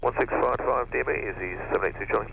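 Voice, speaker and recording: A man's voice: mid-range voice, very fast, vocal fry. A man working as an airline pilot, giving clipped readbacks over aviation radio. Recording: muffled radio with faint hum and noise.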